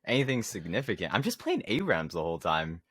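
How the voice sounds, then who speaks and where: A man's voice: sits low, wildly swooping pitch, fast, a bit bright, dry recording, clean studio sound. A young man co-hosting a podcast, chatting casually about himself in slangy, self-mocking gamer talk. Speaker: podcast co-host.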